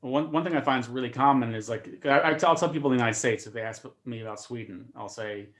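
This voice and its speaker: A man talks quickly and casually as a comedian on a video call. He keeps tripping over his first words and repeating them before the sentence gets going. His voice sits at a middling pitch. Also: a bit bright. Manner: fast-talking, repeats words while starting sentences. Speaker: comedian guest.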